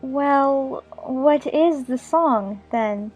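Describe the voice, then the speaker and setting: A woman's voice: high-pitched, drawn-out words, darkish tone, breathy edge, dull audio, full character voice. An actress playing a curious, polite, puzzled young girl in a dramatized audiobook, with music behind her.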